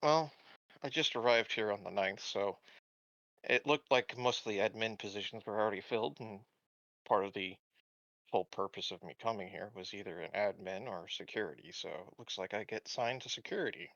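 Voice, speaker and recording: A man voicing an easygoing newcomer applying for colony security in a tabletop game, plain and a little halting. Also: on the low side, fairly bright tone, dry recording, highs cut off. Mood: matter-of-fact. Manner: plain, matter-of-fact, halting.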